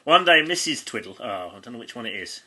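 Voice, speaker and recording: A man's voice: mid-range voice, sing-song, fast talker, bright, forceful tone. An English man who co-hosts a comedy podcast, reading a child's stories aloud in an amused, stumbling way with asides. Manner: giggly, often stumbles and breaks off to comment. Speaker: podcast co-host.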